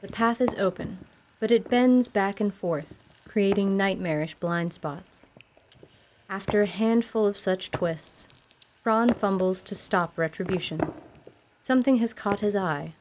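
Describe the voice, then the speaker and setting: A woman narrating a scripted fantasy tale in a measured, literary radio theatre style. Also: mid-range voice. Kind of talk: scripted descriptive story narration. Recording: faint background noise.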